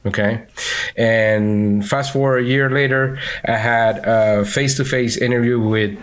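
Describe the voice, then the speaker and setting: A man with a Venezuelan accent, a guest in a casual podcast interview, talking in an animated way with many fillers and restarts. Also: mid-range voice, measured pace. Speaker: podcast guest, instructional designer.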